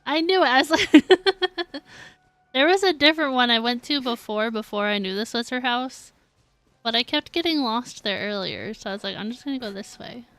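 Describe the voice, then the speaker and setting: High-voiced chatty woman, dry close mic. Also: moderate pace, a bit bright, no room sound. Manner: chatty, playful. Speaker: game streamer. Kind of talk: casual live game commentary.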